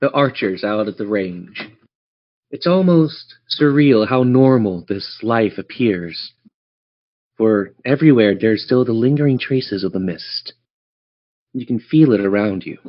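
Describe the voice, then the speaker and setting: A man's voice: mid-range voice, no room sound, muffled recording. Man narrating, dry and close-miked. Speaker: game master. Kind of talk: dramatic narration of a tabletop fantasy game.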